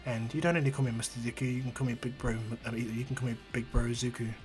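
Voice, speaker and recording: A man voicing a gentle young male hero who speaks softly and reassuringly. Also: medium pitch, animated, brisk, soft background music, close to an everyday voice. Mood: tender. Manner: soft, reassuring.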